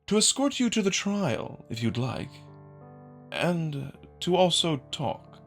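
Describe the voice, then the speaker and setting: A man voicing a genteel, scheming male jackal noble in a visual novel, with a high, soft voice and formal, measured diction. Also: very animated, faint music behind, full character voice. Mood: polite, coy. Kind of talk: visual novel dramatic reading.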